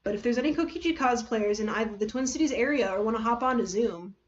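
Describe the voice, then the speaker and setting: A woman chatting casually to viewers as a livestream host, halting and rambling, often trailing off and correcting herself. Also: on the high side, lo-fi sound. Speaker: livestream host reading fan fiction.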